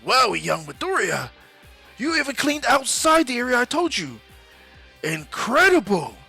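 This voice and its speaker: A man voicing a hearty, bold superhero mentor in an exclamatory, declamatory way, for an animated-style fan story. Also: extremely high pitch, a little slow, vocal fry, slightly rough, faint music behind, voice pushed up, full character voice. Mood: jubilant.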